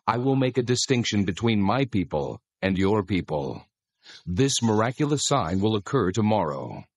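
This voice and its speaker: A man voicing a stern, solemn God who proclaims commands deliberately in a dramatized scripture reading. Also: on the low side, big pitch swings, husky, dry recording, exaggerated voice.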